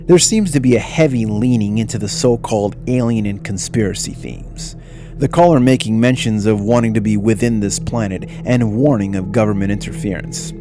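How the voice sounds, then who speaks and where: A man's voice: lowish voice, moderate pace, music in the background. A man reading scripted narration for a mystery documentary.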